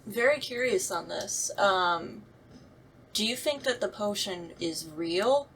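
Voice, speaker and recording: A woman with a medium-pitched voice joins a casual group discussion. Her way of talking is informal and inquisitive. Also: lively intonation, slow, fairly bright tone, a little hiss or hum.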